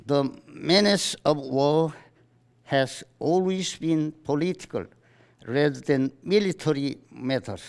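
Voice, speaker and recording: Korean guy w korean accent reading a formal statement, really slow n halting, voice a bit on the high side. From South Korea. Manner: halting, deliberate reading in short phrases. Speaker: writers' association chairman giving a statement. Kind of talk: formal read-aloud statement. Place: press conference in a book salon.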